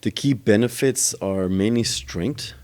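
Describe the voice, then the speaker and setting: A man's voice: lowish voice, a little slow, darkish tone, clear, resonant tone. A man answering interview questions about his gym, explaining step by step with frequent ums and uhs. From Germany. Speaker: gym owner and trainer.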